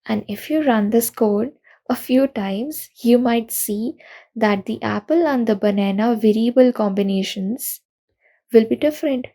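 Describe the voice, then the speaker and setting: A young woman speaking at a programming conference, walking through technical pitfalls one by one. Her voice sits a little high but has a mellow, dark tone, with a lot of gravelly fry at the ends of phrases. She explains in an earnest, steady manner. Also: measured pace. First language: not Russian. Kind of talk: recorded technical talk.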